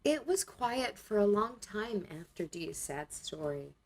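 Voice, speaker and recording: Woman acting a slow, earnest, expressive young woman. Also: mid-range voice. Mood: somber. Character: earnest, self-doubting young woman narrating her story. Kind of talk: motion comic acting and narration.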